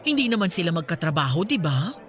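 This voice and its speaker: A woman playing a warm, easily astonished middle-aged mother chatting with her best friend in a radio drama. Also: lowish voice, big pitch swings, quick pace, over music. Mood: nostalgic, musing. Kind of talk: conversational radio drama acting.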